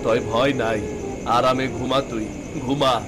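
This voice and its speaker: A man voicing a poor, warm-hearted farmer father in a cartoon, speaking earnest, fretful village talk. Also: medium pitch, steady tone, measured pace, very noisy, close to an everyday voice. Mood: worried. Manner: earnest, fretful rural speech. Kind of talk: cartoon voice acting. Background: background music.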